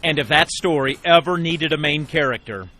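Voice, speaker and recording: A man's voice: fairly high pitch, moderate pace, background noise. A man narrating a scripted television news feature as a reporter, with emphatic storytelling delivery in short phrases.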